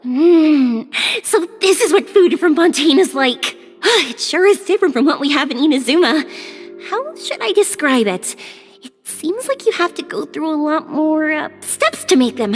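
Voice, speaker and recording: A woman voicing a bubbly, curious young woman traveler in a video game, chatty and excitable. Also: sits high, unhurried, soft background music. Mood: cheerful, musing. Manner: breezy, chatty, exclaiming.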